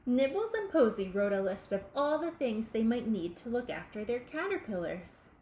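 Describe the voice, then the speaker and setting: A woman reading a children's picture book aloud, in a deliberate, expressive way aimed at young listeners. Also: on the high side. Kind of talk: children's storybook read-aloud. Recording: echoey room with faint background noise.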